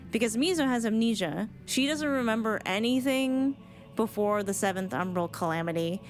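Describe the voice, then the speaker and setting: A woman giving casual live commentary on a video game, emotive and informal, rambling and sweary. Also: medium pitch. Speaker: streamer reacting to game cutscenes.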